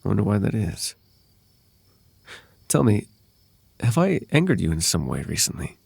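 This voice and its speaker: A man voicing a possessive fae royal in an ASMR roleplay, courtly and coaxing with soft menace under a silky, creaky voice. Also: lowish voice, huge pitch swings, moderate pace, dry recording, full character voice. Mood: tender, coaxing. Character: possessive, silky male fae royal wooing a captive lover. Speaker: voice actor.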